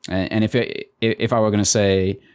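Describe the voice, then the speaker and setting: A man co-hosting a podcast, explaining things casually and haltingly, repeating words. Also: lowish voice, little pitch movement, a little mellow, smooth, dry recording, studio quality. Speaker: podcast co-host. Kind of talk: casual conversation.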